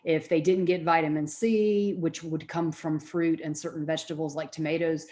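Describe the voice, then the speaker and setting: A middle-aged woman speaks as a college lecturer. Her voice sits a little low for a woman. She explains in a conversational, informal way, as if talking directly with her class. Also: fairly even intonation, moderate pace, warm tone.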